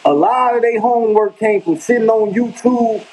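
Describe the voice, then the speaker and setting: A man's voice: very high voice, steady tone, moderate pace, darkish tone, low-quality recording. A man from Chicago with a Chicago accent, talking casually on a live vlog in a rambling, emphatic way. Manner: rambling, emphatic, slangy, repeats himself. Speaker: vlogger. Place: home kitchen.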